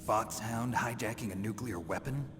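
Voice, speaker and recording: An actor playing a gruff, world-weary retired soldier in a video game, terse, dry and skeptical, with a gravelly voice. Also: male voice, fairly low pitch, normal speed, over music, close to an everyday voice. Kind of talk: dramatic video game voice acting. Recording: noisy, long reverberant hall sound.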